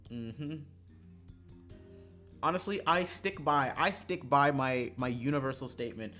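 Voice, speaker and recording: A man livestreaming a visual novel, moving between casual, profane, joking chat and reading the game text aloud, quick to laugh. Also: medium pitch, pushed and bright, faint music behind. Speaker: streamer playing a visual novel.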